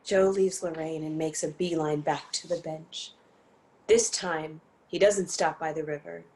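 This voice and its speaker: A woman narrates a stage script as a storyteller. Her voice sits a little low with plenty of creak, and her delivery is measured and dramatic. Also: fairly even intonation, a little mellow, poor audio.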